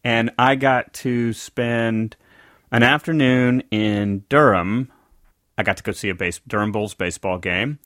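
A man's voice: medium pitch, fairly even intonation, unhurried, a bit bright, no echo, studio quality. A man from the American South, co-hosting a casual podcast and telling a rambling story that often circles back to correct itself. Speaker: podcast co-host. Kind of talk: casual conversational podcast chat.

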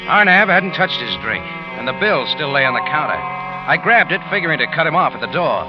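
An actor playing a tough, sardonic American cafe owner in a hard-boiled 1950s radio drama. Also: male voice, fairly high pitch, sing-song, brisk. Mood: wry narration. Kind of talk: 1950s radio acting and narration. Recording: muffled old radio recording, loud music.

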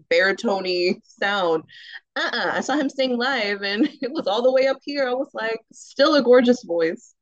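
A woman with a deep, rich, round alto voice, a singer chatting in an interview, animated, laughing often and saying "um" and "like" a lot. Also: no room sound, low-quality recording. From United States. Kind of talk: casual interview conversation.

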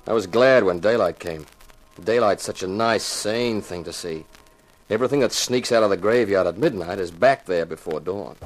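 An actor playing a tough, wry insurance investigator, narrating in 1950s radio detective style. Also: male voice, on the low side, moderate pace, natural voice, rough recording. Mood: brooding, wry. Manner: wry, laconic, dramatic storytelling. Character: tough hard-boiled male insurance investigator.

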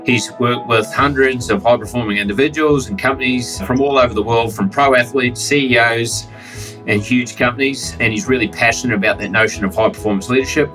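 A man's voice: medium pitch, a little fast, pushed and bright, distant mic, faint music behind, poor audio. A man hosting a podcast, introducing his guest with upbeat enthusiasm. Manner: upbeat, enthusiastic, calls listeners "guys". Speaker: podcast host. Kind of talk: interview introduction.

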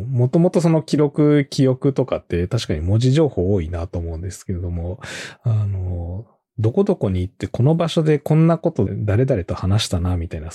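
A man's voice: lowish voice, mellow, husky. A man hosting a casual interview podcast, with loosely phrased questions and frequent listening murmurs. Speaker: podcast host, company co-founder.

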